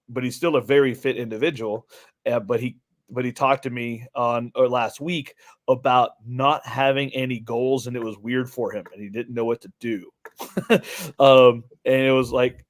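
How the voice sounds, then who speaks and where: A man speaks as a podcast co-host in a voice of middling pitch. His delivery is conversational and rambling, looping through what he said to people as he tells it. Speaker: podcast co-host, fitness coach. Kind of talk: casual conversational storytelling.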